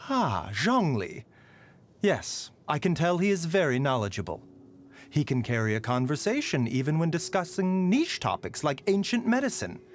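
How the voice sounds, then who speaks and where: An actor playing a polite, gently wry and weary pharmacist in a video game, with measured, mildly teasing phrasing. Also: male voice, a little high, big pitch swings, slight background noise. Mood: measured, courteous. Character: soft-spoken, courteous male pharmacist, gently wry and weary. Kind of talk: video game character voicelines. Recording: voice over background music.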